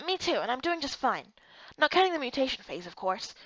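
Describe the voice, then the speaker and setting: A woman's voice: high voice, sing-song, brisk, a bit bright, no room sound, highs cut off, exaggerated voice. A woman voicing an impatient, teasing young merman companion in a brusque, hurrying manner for a story game. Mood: matter-of-fact, teasing.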